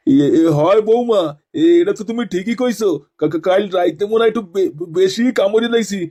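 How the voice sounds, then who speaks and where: A cartoon grandfather, acted out by a man, old and sore-backed, speaks in a high voice. He groans, stammers and grumbles coarsely as he halts through each sentence. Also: fairly quick, dark tone, a little hiss or hum, natural voice. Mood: pained, halting. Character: groaning, foul-mouthed old grandfather with backache. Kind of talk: comic cartoon voice acting.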